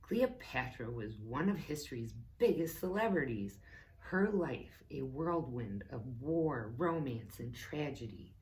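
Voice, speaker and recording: A woman reading a book aloud to children as a teacher, slow and deliberate, with playful asides to her listeners. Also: a bass voice, animated, darkish tone, a little hiss or hum, poor audio. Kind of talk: read-aloud book lesson.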